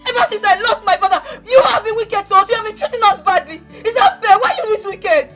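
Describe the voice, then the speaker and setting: Actress as a shrill, sobbing young woman, pleading and wailing. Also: lively intonation, quick pace, soft background music. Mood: anguished shouting. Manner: tearful, pleading, rising to shouting. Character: desperate young woman Agatha pleading with her uncle. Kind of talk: Nollywood-style drama acting.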